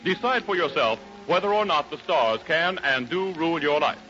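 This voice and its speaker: A man's voice: a little high, expressive, moderate pace, bright, forceful tone, soft background music. An American man announcing a 1930s radio commercial in an emphatic, polished delivery. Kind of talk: 1930s radio advertising pitch. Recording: dull old 1930s radio broadcast recording.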